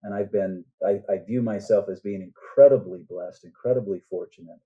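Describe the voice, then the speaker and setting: A man's voice: lowish voice, normal speed, narrow, tinny audio. A man speaking as a guest author in a casual interview, earnest and reflective as he explains his thoughts.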